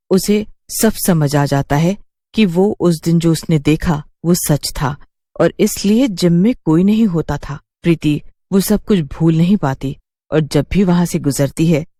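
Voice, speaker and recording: A woman narrating a scripted animated story. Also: deep voice, no room sound, studio quality.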